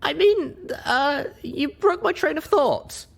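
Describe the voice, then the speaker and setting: Man putting on a very high, flustered young mare. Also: expressive, takes it slowly, vocal fry, heavily acted. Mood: startled, flustered. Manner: flustered, hesitant. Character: young female pony, flustered, earnest, caring wasteland heroine. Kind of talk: storybook character voice.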